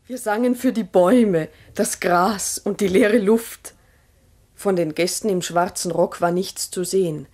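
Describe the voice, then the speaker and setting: Farmer's wife in an old 60s radio play, mid pitch, slow earnest storytelling, full of wonder. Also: female voice, a little mellow, slightly rough. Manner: deliberate, literary, earnest storytelling. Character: farmer's wife, mother, wonderingly recounting strange happenings. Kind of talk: 1960s German radio play monologue, measured narration.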